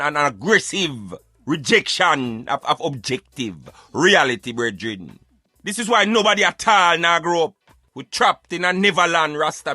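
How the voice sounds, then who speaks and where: A Jamaican man hosting a casual talk show in patois, animated and emphatic as he addresses his listeners. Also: fairly high pitch, no echo. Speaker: radio show host.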